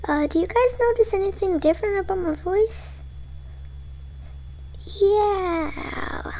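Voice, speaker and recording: Woman doing a girlish video-maker voice, very high, electronically pitched up, casual. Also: slow pace, dark tone, full character voice. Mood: playful. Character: high-voiced girl made with a voice changer. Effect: pitched-up voice changer.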